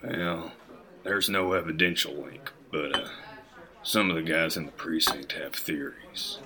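A man's voice: rumbling low, unhurried. A man acting a small-town police officer talking shop in a naturalistic audio drama, with noise behind him. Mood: matter-of-fact.

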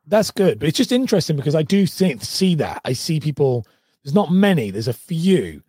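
A man hosting a podcast, his voice hoarse and husky. He talks eagerly and loosely, restarting his questions as he goes. The sound is dry and close. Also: a little high, very animated, normal speed, mellow, no room sound. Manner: enthusiastic, rambling questions with many restarts. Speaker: podcast host. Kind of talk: casual interview chat.

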